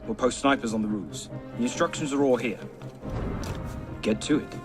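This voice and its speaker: A man's voice: medium pitch, lightly acted. An actor playing a curt, authoritative officer briefing his men in a video game. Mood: businesslike. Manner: brisk, authoritative. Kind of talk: game dialogue. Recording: music over the voice. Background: music.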